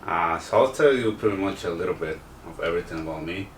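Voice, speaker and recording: Deep-voiced man, halting and roomy. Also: animated, slight background noise. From El Salvador. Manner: halting, many fillers. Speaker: contestant. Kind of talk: personal interview. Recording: roomy sound from a distance.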